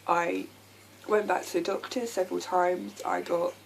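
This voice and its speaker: A young woman with a croaky voice from a lasting sore throat, talking candidly to camera with many ums. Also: lowish voice, steady tone, normal speed, slight background noise. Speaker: vlogger. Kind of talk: casual talk to camera.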